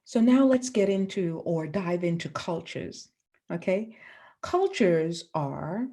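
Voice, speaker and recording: A woman teacher from the Bahamas giving a lesson to children, asking the class questions and pausing for answers. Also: fairly low pitch, big pitch swings, mellow.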